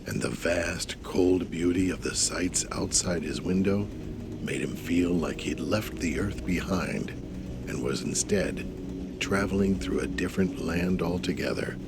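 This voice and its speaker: A man narrating an audio drama in a measured storytelling manner. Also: deep voice, very noisy.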